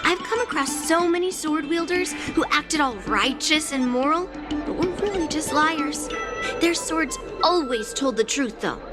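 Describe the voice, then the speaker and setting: A woman's voice: high voice, measured pace. A woman playing a cheerful, confident young swordswoman in video game story dialogue, speaking in a frank, friendly, breezy manner over music. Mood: earnest, resolute. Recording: background music behind the voice.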